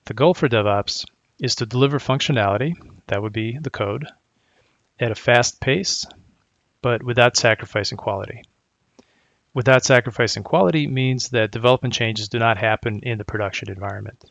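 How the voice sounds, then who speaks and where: A middle-aged man from Croatia giving a prepared conference presentation as a technical architect, speaking in a measured, methodical, explanatory way. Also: mid-range voice, vocal fry, a bit bright, no room sound, muffled recording.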